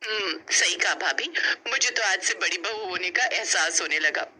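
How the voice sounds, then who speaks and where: A woman voicing a brisk, cheerfully bossy elder sister-in-law in a family story. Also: fairly low pitch, bright, forceful tone, a little hiss or hum, rough recording. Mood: cheerful, teasing. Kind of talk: animated storybook acting.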